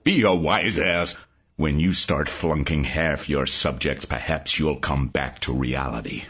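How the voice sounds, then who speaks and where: A man voicing an exasperated old professor who scolds a student gruffly. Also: low voice, lively intonation, normal speed, lo-fi sound, pitched below the performer's own voice. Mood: scolding.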